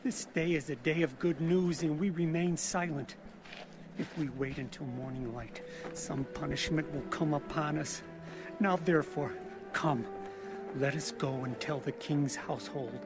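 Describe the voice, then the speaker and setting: A man playing an earnest, high-voiced leper outcast. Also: measured pace, music in the background, close to an everyday voice. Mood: earnest, uneasy. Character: conscience-stricken leper outcast bringing urgent good news. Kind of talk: audio Bible drama acting.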